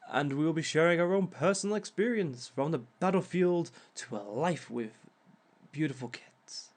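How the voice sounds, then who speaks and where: Man playing a slow-talking, smug villain lecturer. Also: fairly high pitch, lively intonation. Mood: proud, genial. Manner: theatrical, measured, drawn-out phrasing with sly chuckles. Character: suave, smug reformed male villain lecturing as a relationship expert. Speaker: speaker leading a seminar session. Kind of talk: scripted in-character audio roleplay lecture.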